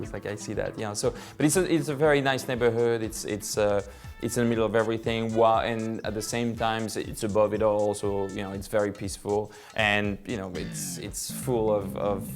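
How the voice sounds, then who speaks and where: A middle-aged man with a French accent talks casually from his living room, circling back and restarting his sentences. His voice sits a little low, and soft music plays faintly underneath him. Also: gravelly. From France. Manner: rambling, many fillers and restarts. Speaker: film director. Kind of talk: casual personal storytelling.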